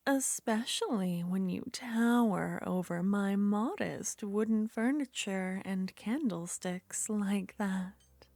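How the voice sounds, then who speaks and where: A woman voicing a flirtatious, secretive female witch in an ASMR roleplay, speaking in slow, breathy whispers. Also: medium pitch, darkish tone, no echo. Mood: coaxing.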